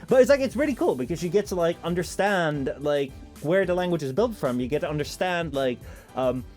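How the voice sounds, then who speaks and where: Animated Dutch-accented male streamer. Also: on the high side, fairly quick, faint music behind. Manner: rambling, filler-heavy, swears often. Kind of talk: casual stream chat, reading out chat messages.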